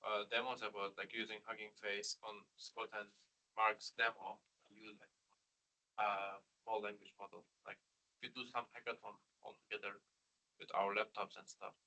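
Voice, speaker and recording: A man's voice: fairly low pitch, flat delivery, moderate pace, gravelly, bright, forceful tone, rough recording. A man in a meetup audience suggesting an idea hesitantly, with many fillers. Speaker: audience member. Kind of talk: suggesting ideas from the floor.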